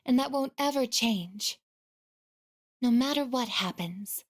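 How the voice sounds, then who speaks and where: A soft earnest robot girl from a video game, kinda high voice, really slow and polite. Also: breathy edge. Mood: wistful, sad. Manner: polite, formal, measured, softly introspective. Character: gentle earnest female android shaped like a teenage girl.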